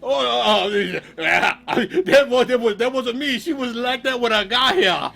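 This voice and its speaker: A man's voice: fairly high pitch, expressive, normal speed, creaky, sharp tone, faint music behind, heavily acted. A man voicing a greedy, blustering storybook wolf who stammers, gloats and bosses. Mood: flustered. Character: greedy, blustering male wolf, muffin-gobbling storybook villain.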